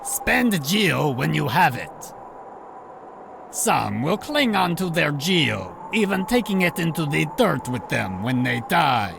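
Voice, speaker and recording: Gravelly pompous bug knight acted by a man, game character, slightly high, lively pitch, boastful lecturing, noisy ambience. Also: takes it slowly, exaggerated voice. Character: pompous boastful male bug knight reciting his precepts. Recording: clearly noisy background ambience.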